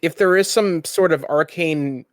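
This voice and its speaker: Higher-voiced man running a tabletop game. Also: measured pace, dry recording, studio quality. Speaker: game master. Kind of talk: improvised narration of a tabletop roleplaying game.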